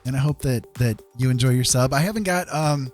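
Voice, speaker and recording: A man casually chatting as a livestream host, earnest and encouraging, talking in a halting way with pauses. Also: medium pitch, darkish tone, faint music behind. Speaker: livestream host and digital artist.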